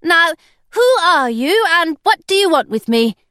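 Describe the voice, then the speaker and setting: A woman's voice: extremely high pitch, very animated, pushed and bright, no echo, clean studio sound, pitched above the performer's own voice, heavily acted. A woman voicing a bold young pirate captain. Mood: commanding. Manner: brisk and commanding.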